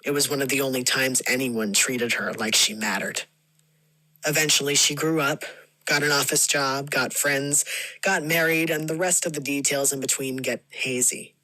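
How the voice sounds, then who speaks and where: A man plays a reflective male storyteller recalling his past in a scripted monologue. His voice is bright and forward, the delivery measured and wistful. The recording is dry, with no room sound. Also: medium pitch, steady tone, dry recording, low-quality recording. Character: reflective grown storyteller recalling a lonely childhood. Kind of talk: scripted first-person narrative monologue.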